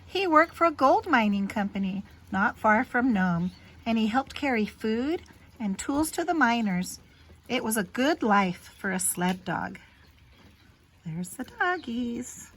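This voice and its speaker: A woman reading a children's picture book aloud in an expressive, teacherly way, with simple asides to young listeners. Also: on the high side, unhurried.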